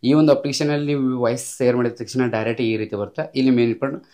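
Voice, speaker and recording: A man's voice: mid-range voice, rapid-fire, warm tone. A man hosting an informal step-by-step tech tutorial, explaining emphatically and repetitively with English tech words mixed in. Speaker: YouTube tech tutorial host.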